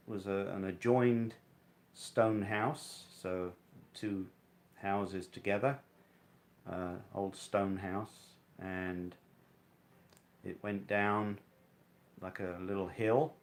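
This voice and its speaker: A man in his sixties casually reminiscing, telling his story in a reflective, hesitant, rambling way. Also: deep voice. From Wales, then London from age eight. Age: 60s. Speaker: interviewee. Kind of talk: casual reminiscing about childhood.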